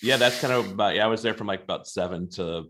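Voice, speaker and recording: A middle-aged man from the southeastern United States, hosting a casual interview in a friendly, halting way. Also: lowish voice, a bit bright, a little hiss or hum. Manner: friendly, halting, restarts with fillers. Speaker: host.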